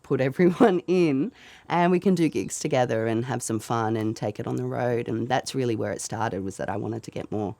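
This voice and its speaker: A middle-aged woman, a band leader, reflecting in a documentary interview in a relaxed, storytelling way. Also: extremely low pitch, normal speed, gravelly, dry recording. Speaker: band leader, singer and guitarist.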